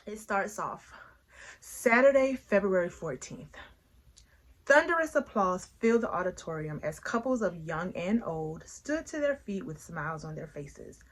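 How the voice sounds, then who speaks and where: Female read-aloud host, mid-pitched, unhurried, conversational and playful, with emphatic delivery and dramatic pauses. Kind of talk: chatty vlog intro and expressive book read-aloud.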